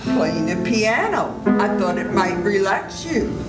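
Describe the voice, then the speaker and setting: An older woman playing a scheming doctor who stays calm and smoothly persuasive in an old-time radio drama performed live. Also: mid-range voice, takes it slowly, over loud music. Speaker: senior theater performer. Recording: roomy hall with audience noise.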